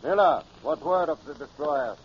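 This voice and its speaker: An actor playing an arrogant, short-tempered German submarine captain in a radio drama, imperious and contemptuous. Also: male voice, fairly high pitch, expressive, moderate pace, natural voice. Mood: stern, curt. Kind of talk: 1950s radio drama acting. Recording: noisy, muffled vintage radio recording.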